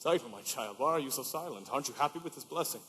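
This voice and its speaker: A man playing a gruff, sardonic, soft-hearted old village father in a stage musical, with theatrical delivery. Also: medium pitch, animated, very fast, a little hiss or hum, lightly acted. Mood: tender. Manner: theatrical, proverb-quoting, sarcastic. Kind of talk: stage musical acting, projected. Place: high school theater stage. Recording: echoey hall stage recording from afar.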